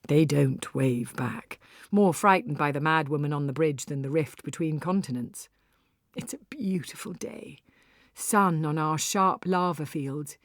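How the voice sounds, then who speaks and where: A woman narrating a story in the first person, with an expressive, wry and theatrical delivery. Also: low-pitched, unhurried, no echo, clean studio sound. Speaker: audiobook narrator.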